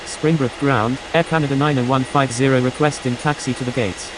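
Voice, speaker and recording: A very low voice comes through clear hiss as a woman plays an airline pilot on the radio. The calls are procedural and businesslike, in the style of an air traffic game. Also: steady tone, a little fast.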